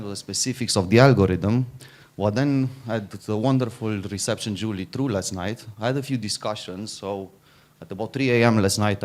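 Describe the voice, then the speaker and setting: A middle-aged man from Romania, giving an informal conference talk, often restarting and repeating words. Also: fairly low pitch. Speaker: conference speaker, fund founder.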